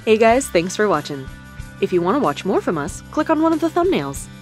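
A woman's voice: mid-range voice, very animated, brisk, a little mellow, faint music behind. Bouncy woman reading a channel outro.

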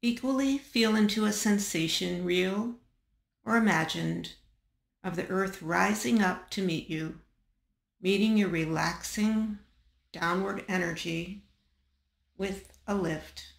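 A woman guiding a body scan meditation in a calm, measured manner, leaving long pauses between short phrases. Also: mid-range voice, vocal fry, roomy sound. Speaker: meditation guide.

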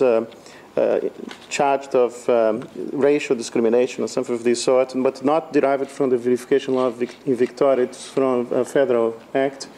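Brief: mellow male panelist, mid pitch, tentative and full of fillers. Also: slight background noise. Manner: hesitant, searching for words. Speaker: law panelist. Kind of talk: conference panel discussion.

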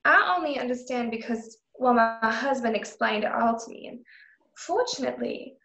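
Woman acting a high-voiced haughty frontier widow. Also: animated, moderate pace, vocal fry, sharp tone, full character voice. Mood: haughty, condescending. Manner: condescending, imperious. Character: haughty, condescending businesswoman widow of frontier town. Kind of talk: radio drama acting. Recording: roomy, echoing home recording.

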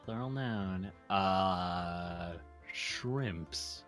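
A man's voice: low-pitched, very slow, fairly bright tone, faint music behind. A man joking with friends and reading aloud in a playful, theatrical way. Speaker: player in a word game. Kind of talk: casual joking chat and comic reading aloud.

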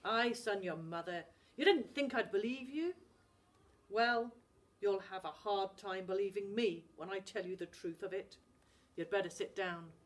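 Woman doing a gruff father. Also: mid-range voice, unhurried, natural voice. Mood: grave. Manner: loud scolding. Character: gruff Scottish fisherman father, stern.

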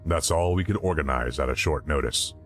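Game role: stern colonel, very deep, curt orders, faint music under. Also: male voice, brisk. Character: stern middle-aged male intelligence colonel. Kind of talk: game voice acting.

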